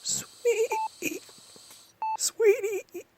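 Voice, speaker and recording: A man voicing a dying male pony in an audio story, halting, thin and strained. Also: very high-pitched, wildly swooping pitch, voice pushed up, exaggerated voice. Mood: weak, pleading. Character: dying male pony, weakly calling for loved one. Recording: noisy homemade phone recording.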